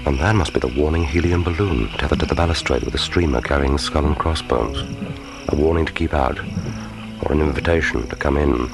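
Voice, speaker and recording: An actor playing a cool, wry British secret agent, narrating his thoughts in a deadpan, gravelly voice in a radio play. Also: very deep voice, a little fast. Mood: wry, tense. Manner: deadpan, wry, inner monologue. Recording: film score music behind voice.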